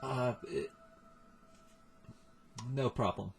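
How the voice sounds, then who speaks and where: A man hosting a reaction video with casual, halting, self-deprecating commentary in a husky voice. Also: medium pitch, music in the background.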